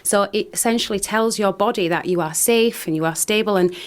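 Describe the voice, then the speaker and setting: A woman's voice: mid-range voice, brisk. A woman with a Northern English accent, a radio studio guest speaking earnestly about her work, often restarting her sentences. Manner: earnest, hesitant with many restarts. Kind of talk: radio interview.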